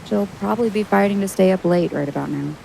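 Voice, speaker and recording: A woman acting in an audio drama as a dry, teasing travel companion with a creaky voice and deadpan delivery. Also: mid-range voice, quick pace. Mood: fond teasing. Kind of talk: audio drama dialogue, naturalistic. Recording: steady sea and wave noise behind.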